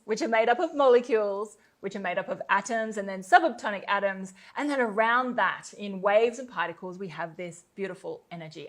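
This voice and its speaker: A woman co-presenting a sales pitch, gushing and enthusiastic, often laughing between phrases.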